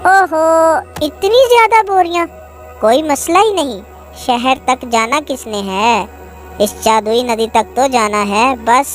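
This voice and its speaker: A woman voicing a lazy, scheming donkey in a children's storybook tale, with a smug, drawn-out delivery. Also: high-pitched, faint music behind, voice pushed up. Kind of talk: animated storybook character acting.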